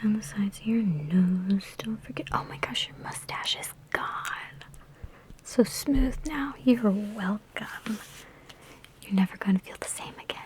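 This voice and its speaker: A woman in an ASMR roleplay speaking in a soft, breathy whisper mixed with hushed talk, with a sassy, teasing, chatty big-sister manner, close to the microphone. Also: medium pitch, a little slow. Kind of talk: ASMR personal attention roleplay.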